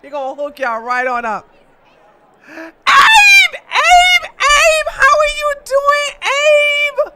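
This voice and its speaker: A woman hosting a casual livestream, chatting with her viewers and reading their messages. She greets them with loud, high exclamations and laughs often as she teases her chat. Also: big pitch swings, very slow. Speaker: livestream host.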